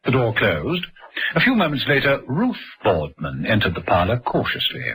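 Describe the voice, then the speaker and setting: A man's voice: mid-range voice, huge pitch swings, moderate pace, a bit bright, breathy edge. A man narrating a scripted radio drama, telling the story between the scenes.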